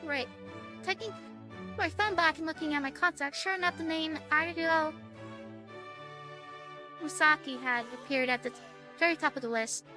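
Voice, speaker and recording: A woman reading a visual novel aloud as a game streamer, casually and haltingly, dropping in side comments of her own. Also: high-pitched, music in the background. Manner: halting, stumbling read-aloud.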